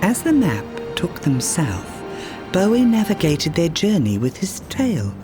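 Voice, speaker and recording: A male storyteller reads a children's book in a slightly high voice. His delivery is unhurried and full of melodic movement, in a polished storybook style. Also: huge pitch swings. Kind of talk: expressive scripted storybook narration. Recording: music behind the voice. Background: music.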